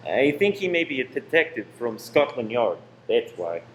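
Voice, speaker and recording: A man's voice: mid-range voice, very animated, moderate pace, lightly acted. A man playing a tense, secretive married cinema owner, insistent and controlling, in a radio play. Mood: suspicious. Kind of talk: amateur radio play acting. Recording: roomy echo with faint noise.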